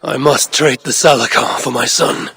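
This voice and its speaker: An actor voicing a weary, stern old Norse god-king in a video game, grave and terse in a gravelly voice. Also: mid-range voice, normal speed, pushed and bright, slight background noise. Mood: grave, resolute. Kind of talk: dramatic video game voice acting.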